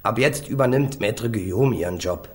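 A man's voice: lowish voice, moderate pace, faint music behind, close to an everyday voice. A man acting a cheeky, street-tough young defendant in a radio crime drama, slangy, insolent and taunting. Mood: cheeky, dismissive.

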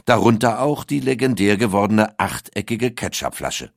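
A man's voice: fairly low pitch, big pitch swings, moderate pace, vocal fry, no echo, clean studio sound. A man reading a scripted documentary audiobook as its narrator. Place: dry recording studio.

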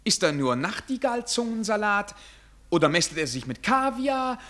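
Stilted, high-voiced haughty prince. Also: male voice, big pitch swings, normal speed, fairly bright tone. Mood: bewildered, disdainful. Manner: formal, stilted, hesitant with affected filler sounds. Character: haughty, naive German prince of the ruling house. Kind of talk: German radio play acting.

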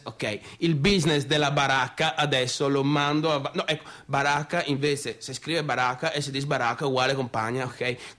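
An actor playing a cocky, rambling son of a provincial businessman in a radio theatre monologue, with a colloquial northeastern Italian accent and a repetitive, excitable delivery. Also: on the high side, close to an everyday voice. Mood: bossy, cocky. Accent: northeastern Italian (Veneto). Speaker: stage actor performing a monologue.

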